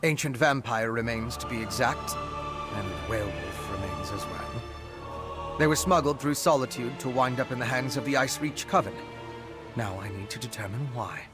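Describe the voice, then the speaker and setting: Male actor as inquisitive fantasy game investigator, man, medium pitch, measured, studious, articulate, prominent background music. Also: natural voice. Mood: serious, explanatory. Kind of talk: video game character dialogue.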